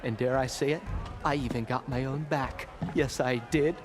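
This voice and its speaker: An actor playing a fussy, put-upon but polite camp cook in a video game, with formal, wordy speech. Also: male voice, mid-range voice, steady tone, moderate pace, over music, natural voice. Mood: dryly proud. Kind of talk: video game dialogue acting.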